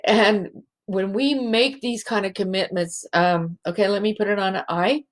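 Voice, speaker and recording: A woman hosting a spiritual show, speaking in a halting, emotional way and close to tears. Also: medium pitch, steady tone. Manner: slow, halting and emotional, many restarts. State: teary. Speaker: show host, healing facilitator. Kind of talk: heartfelt spiritual show monologue.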